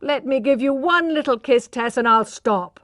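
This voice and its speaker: A brash, reckless young gentleman from a storybook, put on by a woman reader. He talks loud and bossy, with a pushy, mocking edge. The sound is close and dry, with no room around it. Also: medium pitch, animated, measured pace, dry recording, close to an everyday voice. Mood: bullying.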